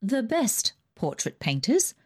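Brief: a high-pitched, imperious, wealthy aunt, voiced by a female reader for a storybook. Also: big pitch swings, slow, breathy edge, exaggerated voice. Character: wealthy bossy older woman aunt.

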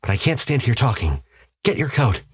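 A man's voice: medium pitch, big pitch swings, moderate pace, slightly rough, dry recording, pitched above the performer's own voice. A man voicing an urgent, commanding husband in a story, insistent and barking orders as if hunted. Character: urgent, commanding husband convinced of alien invasion.

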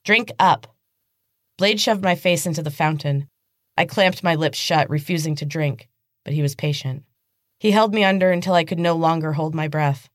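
Low-pitched woman narrating a story. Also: moderate pace, dry recording, clean studio sound. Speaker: podcast host and author reading own novel. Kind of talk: dramatic scripted audiobook narration.

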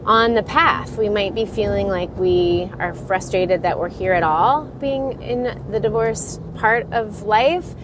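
A middle-aged woman hosts her podcast with a stuffy, cold-congested voice. She rambles in a chatty way at an even middle pitch and laughs often. Steady background noise runs clearly under her voice. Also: a little slow, hardly any pauses. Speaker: podcast host and divorce coach. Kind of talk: casual solo podcast talk.

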